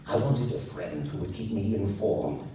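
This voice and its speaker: A man's voice: fairly low pitch, moderate pace, mellow, lightly acted. A man playing a formal, earnest and exasperated emperor, speaking in a stiff courtly manner for a story reading. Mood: aggrieved. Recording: echoey noisy room, recorded from far off.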